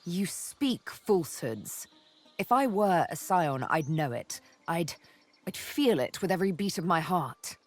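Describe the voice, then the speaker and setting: A woman's voice: fairly low pitch, big pitch swings, measured pace. A woman playing a cool, sardonic, vengeful elf assassin in a fantasy game, poised, wry and intimate. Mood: troubled, bitter. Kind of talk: fantasy video game acting.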